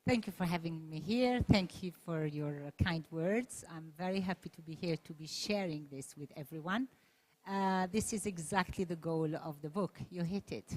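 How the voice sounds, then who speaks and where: A woman author answering a host on a book fair panel, gracious and measured. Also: on the low side, darkish tone. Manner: gracious, measured, some hesitations. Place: event stage.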